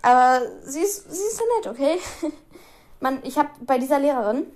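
Teen girl vlogger, slightly high voice, chatty and giggly, rambling life update. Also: lively intonation, normal speed, a little mellow. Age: teens. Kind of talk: casual chat to camera.

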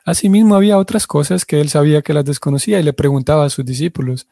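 A man teaching a devotional class, his voice pitched a little high but warm and mellow. He explains at length, backing up and correcting himself mid-sentence. The sound is dry and close. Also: fast, gravelly, no echo. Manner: explanatory, restarts and self-corrections. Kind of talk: religious class.

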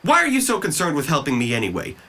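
A man's voice: a little high, huge pitch swings, fast talker, bright, forceful tone, full character voice. A young man playing a bitter, sarcastic, depressed young man in an audio drama, speaking in a defensive, emphatic way. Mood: angry, despairing. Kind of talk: audio drama dialogue acting.

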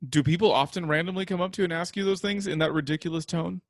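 A man's voice: high-pitched, steady tone, fast, no echo, studio quality. A man co-hosting a podcast, teasing the others and steering the casual chat over a video call. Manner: teasing, laughs easily. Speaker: podcast co-host.

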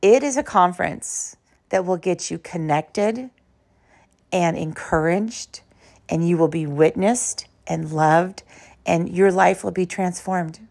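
A woman hosting a podcast in an informal, conversational and emphatic way, telling personal stories in a slightly hoarse voice. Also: lowish voice, slow pace, a little mellow. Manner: conversational, emphatic, uses rhetorical questions. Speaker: podcast host.

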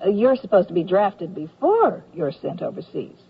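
A woman acting as a vague but helpful army nurse in a radio comedy, husky and hesitant as she muses. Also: on the low side, very animated, normal speed, exaggerated voice. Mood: vague, musing. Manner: hesitant, musing, indecisive. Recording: muffled 1970s radio recording.

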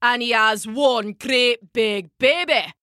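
Woman voicing a male giant, gruff, blustering, boastful, cowardly, bright edge, dry close sound. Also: fairly high pitch, slow pace, dry recording. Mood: awed.

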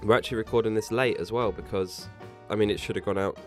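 A man's voice: fairly low pitch, fairly even intonation, fast, faint music behind. An English man with a sore throat and a stuffy voice, co-hosting a casual podcast and rambling through stories with lots of restarts. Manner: rambling, self-interrupting, jokey. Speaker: podcast co-host. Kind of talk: casual chat.

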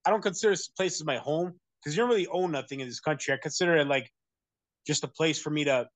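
A man's voice: a little high, fast, dry recording. A man from the United States, talking casually as an interview guest, rambling with many fillers and restarts. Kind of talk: casual conversation.

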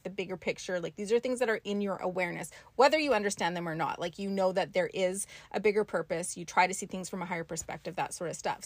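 A soft-spoken woman with a quiet voice, co-hosting a spiritual podcast and telling her own story in casual chat. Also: fairly low pitch, no echo. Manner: storytelling, reflective. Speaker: podcast co-host and spiritual teacher. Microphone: podcast mic.

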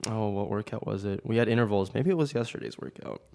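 Gravelly-voiced man chatting casually. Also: fairly low pitch, fast, a little mellow. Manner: rambling, lots of filler "like". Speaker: gym coach and podcast host. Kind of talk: casual chat.

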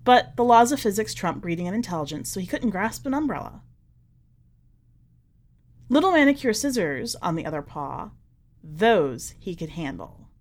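A woman reading a short story as a podcast narrator, with wry, deliberate emphasis in an expressive scripted delivery. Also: mid-range voice.

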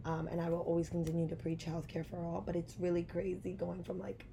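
A young American woman with a raspy voice, chatting casually as a podcast co-host. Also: fairly low pitch, monotone, fast talker, warm tone, a little hiss or hum. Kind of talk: casual chat.

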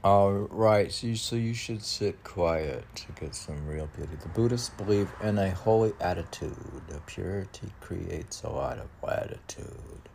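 A man reading his own poems and adding casual, rambling asides in a musing, halting manner. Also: sits low, creaky, darkish tone, a little hiss or hum. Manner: musing, halting, talks back to own lines.

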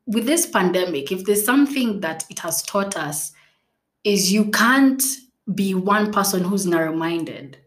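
A young woman whose native language is Swahili, hosting a casual solo podcast, chatty and playful with frequent laughs, fillers and restarts. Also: fairly low pitch. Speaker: podcast host.

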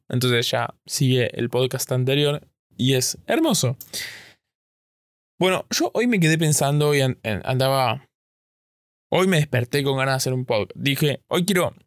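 Argentine man, medium pitch, casual podcast host, rambling and halting, self-correcting, very dry close sound. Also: dry recording.

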